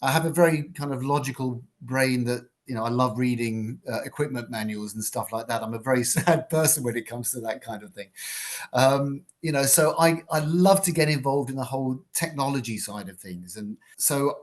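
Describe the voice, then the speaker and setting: An older man from Britain, a guitarist and producer, giving thoughtful, rambling interview answers in a fairly low voice with many fillers. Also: normal speed.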